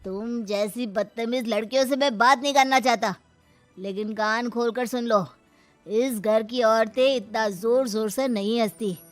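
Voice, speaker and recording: A woman acts out an angry middle-aged uncle in a family drama tale. He scolds and commands in a rather high voice, with light music behind. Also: measured pace, natural voice. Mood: scornful.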